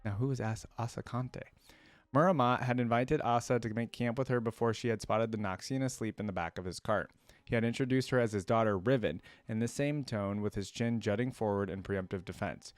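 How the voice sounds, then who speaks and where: A man's voice: fairly low pitch, fairly quick, no room sound. A man hosting a reaction video, reading lore aloud and adding casual commentary.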